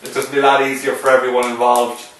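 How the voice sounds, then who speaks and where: A man interviewing a poker player in a teasing, excitable way, often stumbling and restarting his sentences. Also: medium pitch, fast. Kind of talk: joking casual chat. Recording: echoey room, voice from far off, faint noise.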